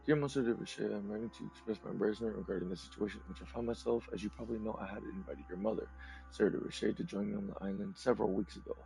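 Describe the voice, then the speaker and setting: Raspy low-voiced man playing a game. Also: fairly even intonation, mellow, soft background music, low-quality recording. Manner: casual, reads letters aloud haltingly. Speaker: gameplay streamer. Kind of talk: casual let's-play commentary.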